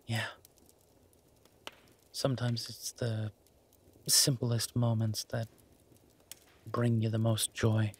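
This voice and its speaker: A man playing an affectionate, laid-back werewolf boyfriend in intimate roleplay audio, gentle and halting, with a husky, breathy voice. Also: fairly low pitch, completely flat delivery. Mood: tender, content. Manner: gentle, halting, murmured with long pauses. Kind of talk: intimate roleplay audio, slow soft conversational acting.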